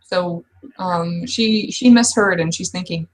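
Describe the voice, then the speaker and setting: A woman's voice: on the low side, slow pace, poor audio. A woman in a casual tabletop roleplaying session, speaking conversationally both in character and as game master.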